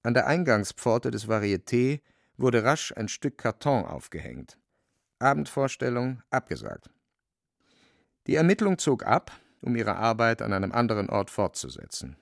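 A man's voice: mid-range voice, big pitch swings, normal speed, creaky, no room sound, studio quality. A man narrating a literary radio drama in a wry, dramatic storytelling manner.

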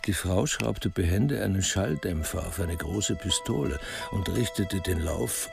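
A man with a creaky voice narrating a scripted radio crime drama. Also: low voice, animated, measured pace, over music.

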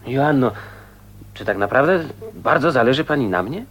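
Courteous, wry, secretive gentleman. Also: medium pitch, expressive, normal speed, husky. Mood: serious bargaining. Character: courteous, wry, secretive male loner and suitor. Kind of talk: radio play acting.

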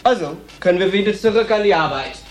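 An actor playing a blunt, provocative, streetwise transvestite prostitute in a German radio play. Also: male voice, high-pitched, lively intonation, fast talker, a little hiss or hum. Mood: defiant, angry. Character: defiant, streetwise transvestite prostitute in New York.

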